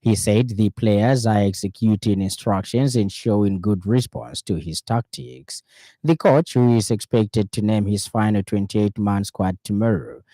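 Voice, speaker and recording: Low, mellow Zambian male newsreader. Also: no room sound. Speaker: radio news presenter. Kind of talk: scripted news bulletin reading. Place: dry radio studio.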